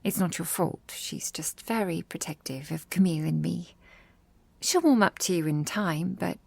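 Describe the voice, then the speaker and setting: Tender vampire girlfriend played by a woman, mellow, close and dry, slightly formal ASMR delivery with whispery edges. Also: fairly low pitch, moderate pace, gravelly, husky, no room sound. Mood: gentle warning. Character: gentle protective vampire girlfriend, caring and apologetic. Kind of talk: intimate ASMR roleplay.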